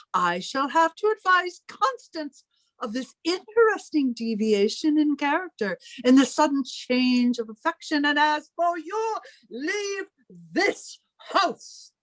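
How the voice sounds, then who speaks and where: A prim, protective spinster played by a woman with a very high voice and big swoops of pitch. She speaks slowly and formally, with the melodrama of old-time radio. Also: low-quality recording. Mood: indignant.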